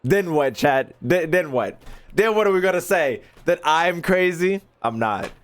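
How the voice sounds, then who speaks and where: A man's voice: a little high, animated, unhurried. A young man doing casual livestream reactions to a game, joking in slang and repeating phrases for effect. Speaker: game streamer. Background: game music.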